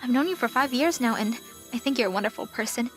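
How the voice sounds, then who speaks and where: A woman playing a kind, professional young travel agent in a manga dub, gently firm, polite and composed. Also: on the high side, fast talker, soft background music, close to an everyday voice. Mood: shy, affectionate. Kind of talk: anime-style manga dub acting.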